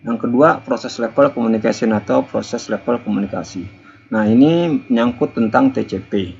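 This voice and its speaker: A man presenting an article review, partly reading aloud, in a halting, explanatory way with informal asides. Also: mid-range voice, sing-song, vocal fry, a little mellow, breathy edge, lo-fi sound.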